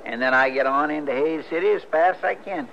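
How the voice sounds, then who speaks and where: Casting: deferential, grumbling cowboy deputy, creaky Western American drawl, old radio western with heavy background noise. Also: male voice, fairly high pitch, brisk. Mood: deferential, reluctant. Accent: rural Western American drawl. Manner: folksy, deferential, complaining. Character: folksy, grumbling, loyal deputy sidekick of the Old West. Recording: muffled, noisy vintage radio recording.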